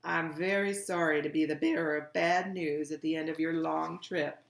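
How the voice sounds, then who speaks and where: A woman voicing a tired, gruff old grandmother in a storybook, grave and flat, each word effortful. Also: low voice, moderate pace. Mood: grave, weary. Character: weary, gruff old grandmother bearing bad news. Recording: echoey room.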